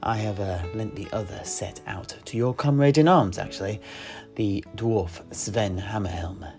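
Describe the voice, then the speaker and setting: A man playing a tavern keeper priest in a fantasy tale, recounting his adventures with deliberate, theatrical phrasing and hushed emphases. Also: lowish voice, creaky. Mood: wry, knowing. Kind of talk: in-character fantasy storytelling. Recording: music behind the voice. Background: plucked guitar music.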